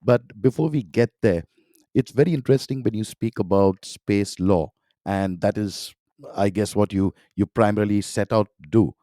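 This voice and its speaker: A man's voice: lowish voice, normal speed, warm tone, no room sound, clean studio sound. A man hosting a podcast, welcoming a guest with an enthusiastic, effusive introduction and asking long questions. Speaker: podcast host.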